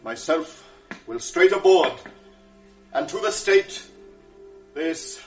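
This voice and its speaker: A stern nobleman hands down orders in slow, measured verse with a slightly raised voice. Faint music sits under this 1980s radio play. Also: a little high, lively intonation. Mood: outraged, commanding. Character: Lodovico, stern Venetian male nobleman. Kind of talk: 1980s radio drama acting.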